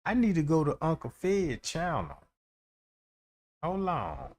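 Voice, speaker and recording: A man's voice: a little high, wildly swooping pitch, takes it slowly, darkish tone. A man hosting a livestream, giving loose, slangy commentary and often asking "you know what I'm saying". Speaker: livestream host. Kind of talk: casual commentary on a case.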